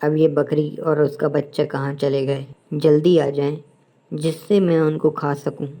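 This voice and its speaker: A woman plays a cunning male jackal villain from a cartoon fable. The tone is very dark and mellow, with insolent menace underneath. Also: low-pitched, little pitch movement, measured pace, faint music behind. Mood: menacing. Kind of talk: Hindi moral-story cartoon dubbing.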